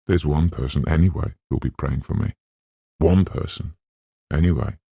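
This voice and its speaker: A male narrator reads as a timid, dreamy woman in a novel, earnest and inward, as if talking to herself. The voice stays low and creaky, with a mellow tone. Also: normal speed, no room sound, studio quality, exaggerated voice. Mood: wistful. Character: timid, dreamy woman, secretly elated at escaping.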